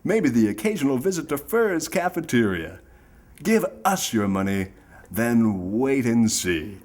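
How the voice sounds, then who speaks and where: An actor playing a smooth-talking old-time radio pitchman, with a theatrical, mock-sincere sales delivery. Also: very animated, measured pace, darkish tone. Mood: folksy, persuasive. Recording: music behind the voice.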